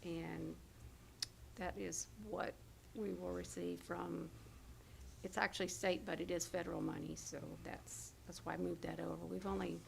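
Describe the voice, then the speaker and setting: A woman with a hoarse, heavily creaky voice walks a county committee through budget lines, pausing on fillers and correcting herself as she goes. A faint hiss sits under her voice. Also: fairly low pitch, flat delivery, low-quality recording. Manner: explains figures with fillers and self-corrections. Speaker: county health department official presenting budget. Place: county government meeting room.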